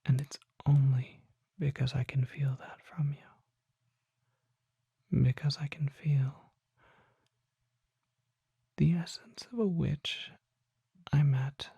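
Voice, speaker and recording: A performer voicing a refined, mysterious vampire shopkeeper in a soft, breathy ASMR whisper, speaking formally with deliberate pauses. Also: mid-range voice, steady tone, no echo, heavily acted. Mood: reverent excitement. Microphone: close microphone.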